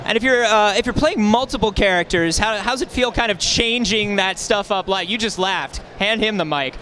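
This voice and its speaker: A man's voice: high voice, moderate pace, bright, forceful tone. A man interviewing guests in an informal, chatty way at a live convention event. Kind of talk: casual on-stage convention interview. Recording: faint noise of busy convention hall.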